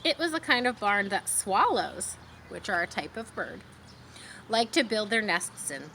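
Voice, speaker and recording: A woman reading a children's story aloud, pausing to explain things simply as if to young listeners. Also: medium pitch, expressive, creaky, pushed and bright, a little hiss or hum. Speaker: storybook reader.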